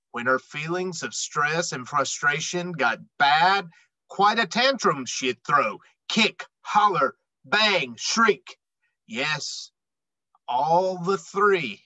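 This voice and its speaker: Male storyteller, middle-aged, slow and animated, emphatic delivery for young children. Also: on the high side, dry recording, rough recording. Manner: emphatic, dramatic phrasing with deliberate pauses. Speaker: read-aloud storyteller. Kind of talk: expressive children's picture book read-aloud.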